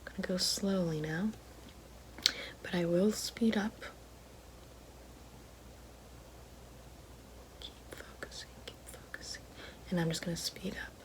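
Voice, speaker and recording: A woman playing a vain, bitter horned evil fairy sorceress in a whispered and soft-spoken ASMR roleplay, with precise, coaxing delivery. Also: on the low side, moderate pace, lightly acted, low-quality recording. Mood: soothing, coaxing. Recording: soft whispering over loud background noise.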